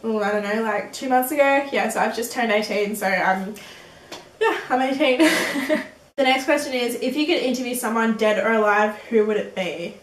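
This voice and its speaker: A woman's voice: a little high, normal speed, roomy sound, recorded from a distance, a little hiss or hum, low-quality recording. An eighteen-year-old woman answering viewer questions in a casual beauty vlog, chatty and giggly, with lots of ums and likes. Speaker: beauty vlogger.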